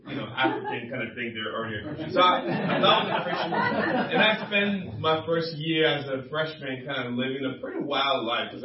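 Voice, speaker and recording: A man's voice: mid-range voice, lo-fi sound. Young man giving testimony, roomy distant sound. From Liberia. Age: young adult. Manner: conversational storytelling. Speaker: campus minister, guest speaker at church. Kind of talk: informal church testimony. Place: large room at a church gathering.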